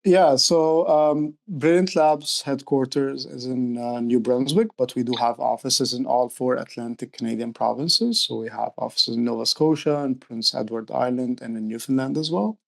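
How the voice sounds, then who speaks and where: Middle aged guy, bit gravelly, explaining stuff earnestly in an interview. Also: medium pitch, fairly even intonation, normal speed, hardly any pauses. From Egypt. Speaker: youth program director, interview guest.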